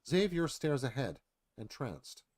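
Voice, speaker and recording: A man's voice: mid-range voice, big pitch swings, measured pace, a little mellow. A man narrating stage directions in a dramatic, storytelling screenplay read.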